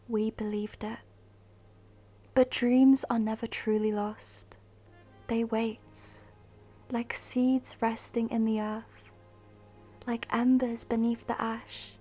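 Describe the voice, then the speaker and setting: A woman guiding a meditation in a soft, breathy, half-whispered voice, soothing and measured, with long pauses. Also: fairly high pitch. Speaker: meditation guide. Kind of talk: guided meditation, scripted narration. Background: music.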